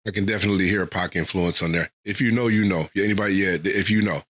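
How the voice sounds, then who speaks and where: Guy with a head cold talking through an album review, kinda low voice, rambling with lots of "you know what i'm saying". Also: steady tone, quick pace, fairly bright tone. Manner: loose, thinking aloud with many fillers. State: getting over a head cold. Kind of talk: casual reaction commentary.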